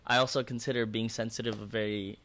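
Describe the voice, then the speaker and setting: A man's voice: fairly low pitch, little pitch movement, fast talker, fairly bright tone. A man who grew up in the Philippines and speaks Bisaya as his first language, a worship leader talking earnestly and reflectively in a casual conversation.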